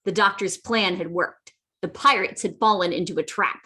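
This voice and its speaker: A woman's voice: on the low side, moderate pace, very noisy, close to an everyday voice. A woman playing an earnest storyteller narrating a sea adventure in a scripted radio play.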